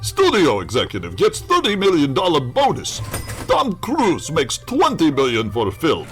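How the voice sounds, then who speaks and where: A man's voice: on the high side, a bit bright, heavily acted. Hammy russian bad guy, pompous n grouchy, broken english, voice swings all over, campy radio play. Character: pompous, grouchy Russian villain plotting to flood Hollywood.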